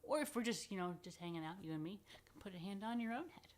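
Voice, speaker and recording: A woman's voice: medium pitch, animated, fast. A woman rabbi hosting a children's story time, speaking informally and playfully straight to the children in a chatty way.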